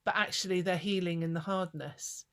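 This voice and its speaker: A woman's voice: fairly low pitch, steady tone, normal speed. A woman from England hosting a casual conversation, talking in a thoughtful, rambling way. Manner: thoughtful, rambling, with many fillers and restarts. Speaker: host.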